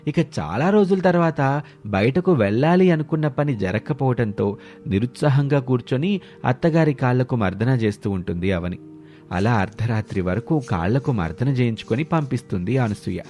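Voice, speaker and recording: A man's voice: medium pitch, lively intonation, normal speed, dark tone. A man narrating a scripted family moral story as a storyteller. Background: background music.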